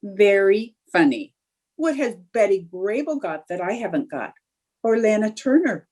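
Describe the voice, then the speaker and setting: A woman's voice: medium pitch, very animated, measured pace, darkish tone. A woman playing a scheming, stubborn, stagestruck housewife in old-time radio comedy, coaxing and indignant in a theatrical way. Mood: defiant. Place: remote video-call production.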